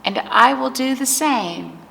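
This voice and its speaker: A woman's voice: sits high, lively intonation, a little slow, vocal fry, fairly bright tone, a little hiss or hum, voice pushed up, heavily acted. Woman reading as an earnest, affectionate little girl. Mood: wistful. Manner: earnest, hopeful questioning. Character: affectionate, earnest little girl who misses her grandma.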